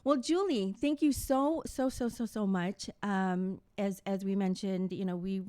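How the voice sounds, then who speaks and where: A woman's voice: medium pitch, unhurried, mellow, no echo. Effusive woman hosting, close dry sound. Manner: effusive, informal, addresses viewers directly. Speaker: talk show host. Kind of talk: casual live-stream interview chat.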